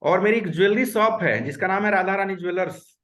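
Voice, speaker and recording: A man's voice: high-pitched, a little fast, fairly bright tone. Earnest man teaching a webinar. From Uttar Pradesh, India. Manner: earnest and repetitive, mixes English words. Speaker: webinar instructor. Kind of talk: online webinar lecture. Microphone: video call.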